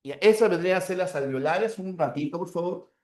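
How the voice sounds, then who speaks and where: A man's voice: high-pitched, fairly quick, a little mellow. A man with a Peruvian accent lecturing a class, repeating key terms and checking in with short tag questions. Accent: Peruvian Spanish. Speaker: teacher. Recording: roomy echo.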